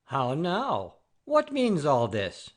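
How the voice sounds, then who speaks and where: An actor puts on an outraged old gentleman in a classic comedy audio play. He is pompous and commanding, speaking slowly with huge swings of pitch. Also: wildly swooping pitch, exaggerated voice. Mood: haughty outrage.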